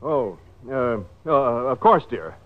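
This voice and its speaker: A man's voice: high-pitched, sing-song, normal speed. An actor playing a bashful, flustered man posing as a husband, hesitant and stammering, in a vintage radio comedy. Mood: embarrassed. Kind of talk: 1950s radio comedy acting. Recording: noisy muffled old radio recording.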